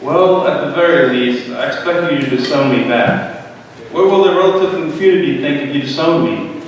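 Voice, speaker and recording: A man's voice: fairly high pitch, normal speed, natural voice. A man playing an impatient, insolent younger son in a dramatic reading, brash and sarcastic. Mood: incredulous. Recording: echoey hall, distant mic, faint noise.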